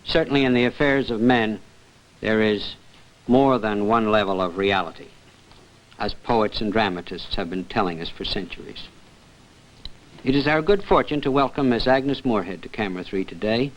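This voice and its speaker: A man hosting a 1960s television program, giving a formal, scripted introduction in a measured, erudite manner. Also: fairly low pitch. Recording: dull, lo-fi 1960s television sound.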